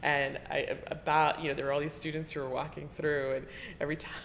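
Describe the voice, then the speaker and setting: A woman interviewing a colleague in a relaxed, chatty way, often trailing off and restarting and laughing as she talks. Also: deep voice, fast talker, a little hiss or hum. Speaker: interviewer, teaching artist. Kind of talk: conversational interview. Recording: reverberant room with faint ambience.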